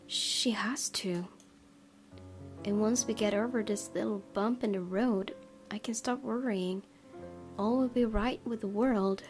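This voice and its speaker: A woman reading a visual novel aloud as a let's play host, in measured, earnest dramatic narration. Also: mid-range voice, a little mellow.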